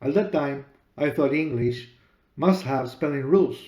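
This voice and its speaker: A middle-aged man from Peru, a native Spanish speaker with a Spanish accent, hosting his own podcast and telling his story in a measured, deliberate way. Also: mid-range voice, mellow, echoey room. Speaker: podcast host and author. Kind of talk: storytelling monologue.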